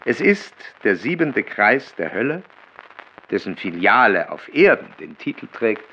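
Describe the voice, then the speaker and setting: An actor playing a melancholy, bitterly ironic novelist reading his writings in a literary radio play, with deliberate dramatic emphasis. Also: lowish voice, big pitch swings, bright, forceful tone, muffled recording, full character voice. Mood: scornful, ironic.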